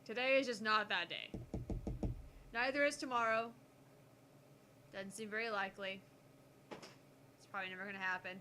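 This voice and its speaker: A woman's voice: medium pitch, bright, forceful tone, slight background noise. A woman co-hosting a game stream, chatting casually and laughing often. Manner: giggly, quick to laugh. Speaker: co-host playing a visual novel. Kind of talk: casual banter while playing.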